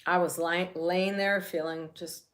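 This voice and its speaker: A woman's voice: on the low side, little pitch movement, a little slow, fairly bright tone. A middle-aged woman from New Jersey, talking casually while guiding a self-care healing session, chatty and rambling with many restarts.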